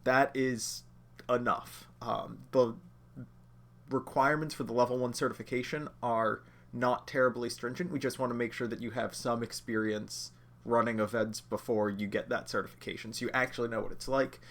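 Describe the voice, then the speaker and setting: A man with a slightly nasal voice from being under the weather, teaching a livestreamed lesson in a relaxed, chatty way, talking straight to his viewers. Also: medium pitch, normal speed, gravelly. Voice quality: a bit nasal. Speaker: judge training instructor.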